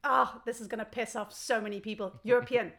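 Casting: woman, podcast guest from Belgium, slightly high voice, relaxed conversational delivery with a joking, animated manner. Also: brisk, gravelly. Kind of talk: casual conversational chat.

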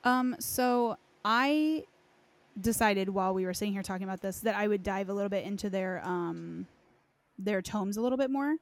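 A woman's voice: medium pitch, moderate pace. A woman hosting a podcast, chatting informally and recounting lore in a conversational way. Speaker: podcast host. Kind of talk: casual chat, recounting game lore.

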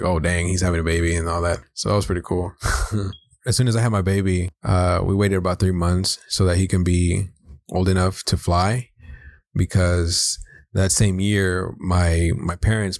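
Deep-voiced young American man. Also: no echo, clean studio sound. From South Los Angeles, United States. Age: 20s. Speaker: podcast co-host. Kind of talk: casual personal introduction.

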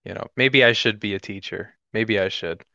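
A man's voice: fairly low pitch, normal speed, gravelly, bright, forceful tone, dry recording. A twenty-one-year-old man in casual podcast conversation, easygoing and joking, on a slightly dull recording. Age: 21, young adult. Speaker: podcast guest or co-host.

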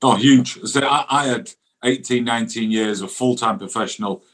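A middle-aged man from a football background talks. He is a chatty ex-goalkeeper pundit, colloquial and informal, with a voice of ordinary male pitch. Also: moderate pace, fairly bright tone. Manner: chatty, colloquial, often adds "you know what I mean". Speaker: former professional goalkeeper, guest pundit. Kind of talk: casual football chat.

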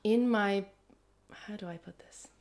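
A woman's voice: mid-range voice, a little slow, darkish tone. A woman in her twenties with an Irish accent, chatting casually and telling stories animatedly as a language assistant on a podcast. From Ireland. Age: 20s.

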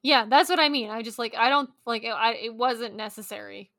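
Bright, higher-voiced woman in rambling chat. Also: brisk, no room sound, clean studio sound. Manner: informal, rambling, sweary. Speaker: podcast co-host. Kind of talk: casual chat.

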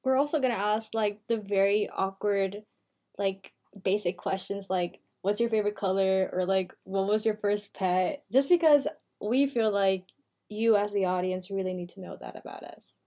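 A woman's voice: medium pitch, moderate pace, warm tone. An eighteen-year-old woman co-hosting a podcast, talking in a chatty, casual way with lots of "like" fillers. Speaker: podcast co-host, university freshman.

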